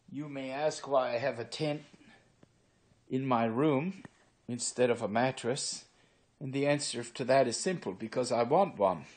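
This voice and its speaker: A man's voice: mid-range voice, darkish tone. Rambling older man with Pennsylvania Dutch accent. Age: 60s. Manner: rambling, unhurried, many filler pauses. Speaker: vlogger. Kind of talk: casual walk-through monologue. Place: his own room indoors. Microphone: phone camera held by speaker.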